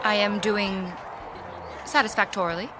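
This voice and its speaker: A woman's voice: mid-range voice, fairly even intonation, moderate pace, noisy background, lightly acted. A woman playing an otherworldly visitor who speaks in a formal, over-precise, stilted way in an audio drama. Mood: stiff, curious. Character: stiff, literal, otherworldly female visitor studying human customs.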